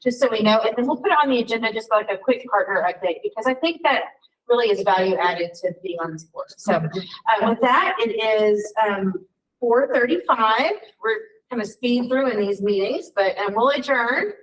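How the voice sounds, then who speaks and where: A woman chairing a board meeting in a relaxed, informal way. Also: a little high, normal speed. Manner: warm, informal, lots of fillers. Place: meeting room. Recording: distant room mic at a meeting.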